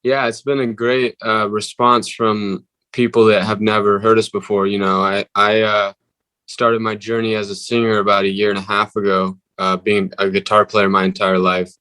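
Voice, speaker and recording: A young male musician with a deep voice, answering interview questions haltingly with many fillers. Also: level tone, pushed and bright, no room sound, rough recording. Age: young adult. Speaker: musician guest.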